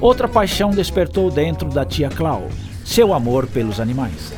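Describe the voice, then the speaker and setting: Male Brazilian narrator for radio drama, somewhat high, lively storytelling, music bed. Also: normal speed.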